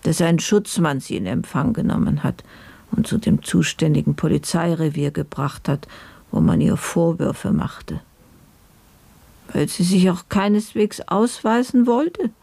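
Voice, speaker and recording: An actor in a German radio play giving a dry official report in a formal, bureaucratic manner. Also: male voice, on the high side, animated, a little slow, gravelly, darkish tone, husky, no room sound, exaggerated voice.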